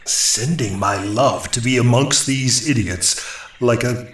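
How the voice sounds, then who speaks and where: An actor playing a pompous astral being with formal, archaic, florid speech in a comic audio drama. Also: male voice, mid-range voice, slow pace, a bit bright, slight background noise. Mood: grave, weary. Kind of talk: audio drama comedy acting. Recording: roomy echo.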